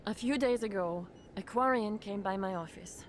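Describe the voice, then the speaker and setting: A woman's voice: mid-range voice, normal speed, a little hiss or hum, natural voice. A woman voicing a shaken clinic doctor. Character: frightened female clinic doctor.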